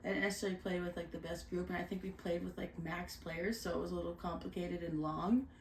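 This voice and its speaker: A woman's voice: on the low side, fairly even intonation, fast, a little hiss or hum. A Canadian woman co-hosting a board game review show. She chats casually and candidly and leans on "like" as a filler. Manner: chatty, candid, lots of "like" fillers. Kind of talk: casual chat. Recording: roomy echo.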